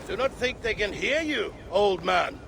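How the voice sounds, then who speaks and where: An actor playing the blunt, reproachful grown son of a Norse god in a video game, with a stern, gravelly delivery. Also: male voice, high voice, expressive, unhurried, fairly bright tone, a little hiss or hum, full character voice. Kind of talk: dramatic game cutscene acting.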